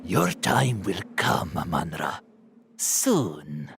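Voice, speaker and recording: A man's voice: sits low, very animated, drawn-out words, vocal fry, background noise, heavily acted. An actor playing a sly, treacherous villain who sneers at his enemy in a video game cutscene. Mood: menacing.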